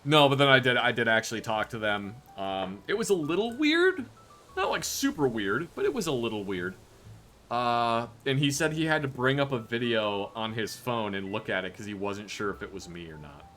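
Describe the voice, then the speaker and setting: A man's voice: mid-range voice, normal speed. A young man streaming a game live, chatting casually and joking as he plays. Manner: joking, chatty, animated reactions. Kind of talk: casual live-stream chat while gaming.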